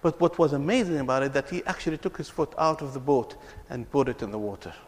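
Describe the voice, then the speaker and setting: A man's voice: medium pitch, fast talker. Man, reconciliation speaker, earnest and repetitive storyteller, reverberant hall sound. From Palestine. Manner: earnest storytelling, often repeats words. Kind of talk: speech to an audience.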